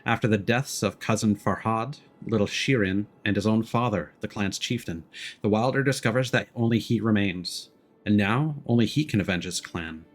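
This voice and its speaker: A man streaming a video game, thinking out loud in casual commentary and sometimes reading game text, with game music behind. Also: lowish voice. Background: faint game music.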